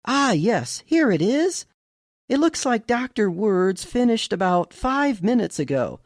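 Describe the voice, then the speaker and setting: A man's voice: high voice, big pitch swings, a little slow, no room sound, clean studio sound, pitched above the performer's own voice, heavily acted. A man doing a young information-desk woman. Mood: polite, helpful. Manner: polite, helpful, apologetic.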